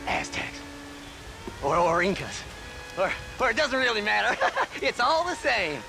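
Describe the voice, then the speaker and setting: A man's voice: high-pitched, huge pitch swings, a little slow, vocal fry, noisy background, exaggerated voice. A man voicing a crazed, giggling grave robber in a video game cutscene, rambling in a manic sing-song way. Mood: giddy, deranged. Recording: music behind the voice.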